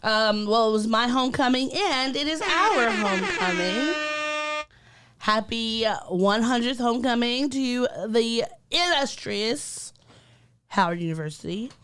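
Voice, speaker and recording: A woman's voice: on the high side, lively intonation, unhurried, a bit bright, a little hiss or hum. A young woman co-hosting a casual podcast, a bit nasal from a cold, teasing her co-host playfully. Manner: teasing, playful, laughs often. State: slightly congested, nasal from a cold.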